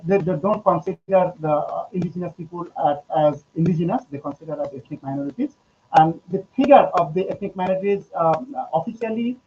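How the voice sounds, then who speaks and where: A man from Bangladesh, giving an academic presentation over a video call, halting and full of filler sounds. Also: on the high side, darkish tone, slight background noise. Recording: muffled video-call audio.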